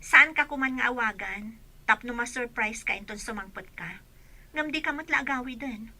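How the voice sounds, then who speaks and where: A woman's voice: a little high, normal speed, pushed and bright, no room sound, heavily acted. A woman playing a suspicious, nagging wife in an Ilocano radio comedy drama, with an Ilocano accent.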